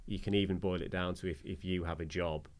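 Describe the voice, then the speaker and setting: Deep-voiced hesitant man. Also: fairly even intonation. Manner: hesitant, halting with restarts. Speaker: panel guest. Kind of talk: casual discussion.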